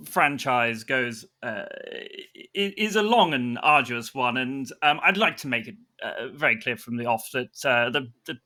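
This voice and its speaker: Male, acting as a defensive luvvie in a spoof interview, very sharp bright tone, stumbling delivery. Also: mid-range voice, lively intonation. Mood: flustered, defensive. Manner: halting, stammering, defensive. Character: insecure male actor from a famous dynasty, defensively self-important. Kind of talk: improvised mockumentary interview.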